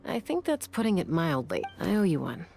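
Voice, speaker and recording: A woman's voice: fairly low pitch, very animated, brisk, gravelly, darkish tone, husky, exaggerated voice. An actress playing a tough-talking, protective young gunslinger woman in a video game, with a folksy, assertive, confident manner. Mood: relieved, wry. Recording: game audio with music behind.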